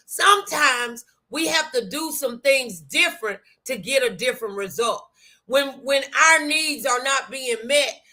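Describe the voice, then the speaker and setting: A woman's voice: high-pitched, pushed and bright. An American woman hosting an inspirational faith talk, speaking in a folksy, emphatic, exhorting way with repeated phrases and direct address to her viewers. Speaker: host of an inspirational talk show.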